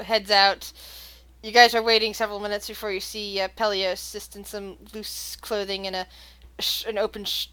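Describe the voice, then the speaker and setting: A woman narrating a tabletop game as game master, searching for words with many pauses and fillers. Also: mid-range voice, fairly bright tone, smooth. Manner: hesitant, many fillers and restarts.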